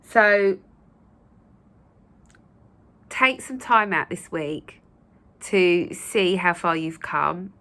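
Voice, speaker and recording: Woman host, croaky and gravelly after a cold, lowish voice, gentle reassuring chat to viewers. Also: animated, slow pace. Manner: gentle, reassuring, with many fillers. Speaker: spiritual channel host. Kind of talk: casual spoken talk to viewers.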